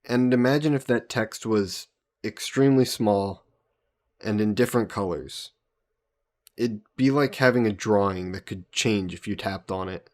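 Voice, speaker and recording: A man's voice: lowish voice, a little slow, natural voice. A man playing a friendly, patient human hero from Earth in a roleplay audio drama, explaining things conversationally to a listener.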